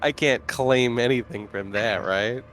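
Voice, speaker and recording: A man's voice: medium pitch, measured pace. A man playing a tabletop game, chatting and bantering casually with the group.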